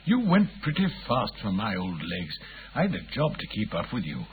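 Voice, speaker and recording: A fussy old bookseller in disguise, lively swooping pitch, faint hiss, vintage radio drama. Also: male voice. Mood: humble. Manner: fussy and huffy in disguise, then brisk and commanding. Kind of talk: 1940s radio acting.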